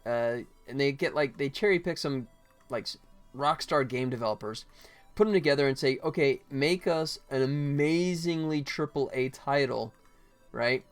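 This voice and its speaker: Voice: mid-range voice, normal speed, faint music behind. A game developer hosting a livestream, chatting casually and jokily in a rambling way while working. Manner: rambling, jokey, acts out mock quotes.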